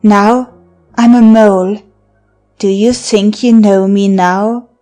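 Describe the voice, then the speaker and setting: Woman acting a slow, taunting seductress over music. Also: medium pitch, steady tone, dark tone, natural voice. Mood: mocking. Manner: theatrical, taunting, breaking into laughter and sighs. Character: teasing, mocking seductive woman of magical wiles. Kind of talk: verse drama audiobook acting.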